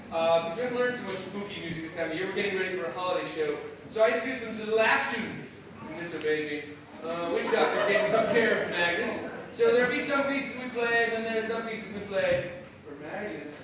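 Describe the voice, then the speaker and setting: A man speaking as a music teacher and host, giving an effusive, halting welcome speech at a school showcase. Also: sits high, a crowd in the background. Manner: effusive, halting, often repeating words. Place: school performance space with live audience. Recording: roomy, noisy hall, distant microphone.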